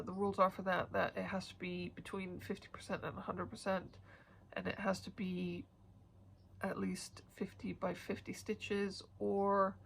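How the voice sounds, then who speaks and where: A woman's voice: on the low side, gravelly, slight background noise. A woman vlogging about her stitching in a rambling casual chat, sniffly and stuffed up, with many hesitant fillers.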